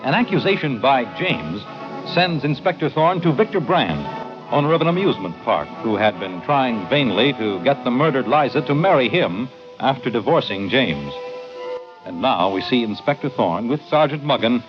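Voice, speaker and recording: A male old-time radio announcer reads scripted narration with animated, swinging intonation. Music plays clearly behind him, and the recording has a dull, vintage sound. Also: mid-range voice, moderate pace.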